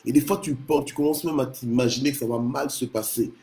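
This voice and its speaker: Mellow-voiced male preacher from Congo. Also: medium pitch, steady tone, brisk, vocal fry, slight background noise. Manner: punctuates speech with short exclamations of praise. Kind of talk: devotional sermon on scripture.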